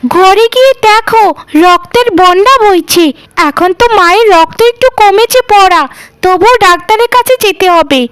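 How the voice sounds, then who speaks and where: Woman as a very high-pitched, conniving sister-in-law. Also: normal speed, fairly bright tone, dry recording, low-quality recording. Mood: accusing. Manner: theatrical, conniving. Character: sly, jealous, greedy elder sister-in-law plotting against bride. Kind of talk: melodramatic cartoon dialogue.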